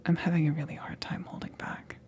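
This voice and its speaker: A woman voicing a flustered, needy female alien crewmate in an ASMR roleplay, with halting, nervous, breathy whispers. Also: darkish tone, lightly acted. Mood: flustered, hesitant.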